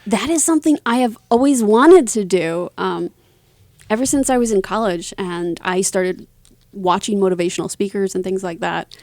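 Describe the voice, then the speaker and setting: A woman's voice: medium pitch, moderate pace, a little mellow, no echo. Casual, self-deprecating woman, dry studio-like sound. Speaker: interview guest, voice actor. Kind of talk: casual conversational storytelling.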